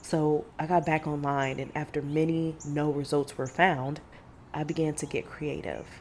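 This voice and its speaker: A woman acting a low-voiced, grave female monster hunter. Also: fairly even intonation, normal speed, darkish tone. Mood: reflective. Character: Stella, grave monster-hunter mother recounting past horrors. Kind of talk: audio drama storytelling. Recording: faint background noise.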